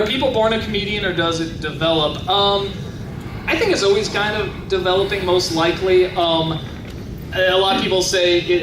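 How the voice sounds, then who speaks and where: A man in his thirties with a high-pitched voice, chatting casually as he answers audience questions at a convention panel, full of fillers and restarts. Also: far from the mic. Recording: echoey convention hall from afar, noisy.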